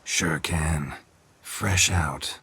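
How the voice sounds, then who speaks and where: An actor playing a calm, menacing monster hunter in a video game, with a husky rasp and terse deadpan delivery. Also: male voice, rumbling low, very slow, exaggerated voice. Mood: dry menace. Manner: deadpan, terse, clipped sentences. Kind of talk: understated video game acting.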